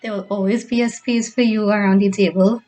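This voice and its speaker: A woman's voice: medium pitch, steady tone, brisk, warm tone, soft background music. A woman speaking in a personal farewell tribute as a grandchild remembering a grandmother.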